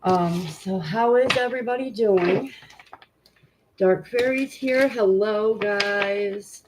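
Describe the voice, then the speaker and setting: A woman's voice: medium pitch, slow pace. Congested woman, home tarot streamer, casual and rambling, noisy audio. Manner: informal and chatty, many "um" fillers, greets viewers. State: congested, stuffy nose. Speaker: tarot reader hosting a live stream. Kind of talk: casual live-stream chat and card reading.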